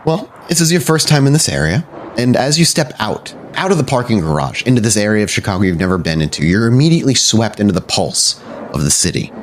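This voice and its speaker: A man's voice: lowish voice, sing-song, fast, slight background noise. A man narrating a tabletop roleplaying game descriptively as game master.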